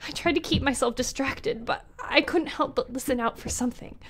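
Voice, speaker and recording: A woman's voice: high-pitched, brisk, a little hiss or hum, close to an everyday voice. An actress playing a female ship captain recording her last log entries, frightened and dropping into whispers. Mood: distraught. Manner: frightened, breaking into whispers and cries. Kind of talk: audio drama acting.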